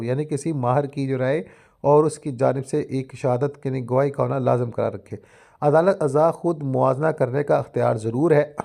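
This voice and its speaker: A man's voice: mid-range voice, warm tone. A man with a Pakistani accent lecturing formally on law, explaining as he reads from notes and mixing in English legal terms.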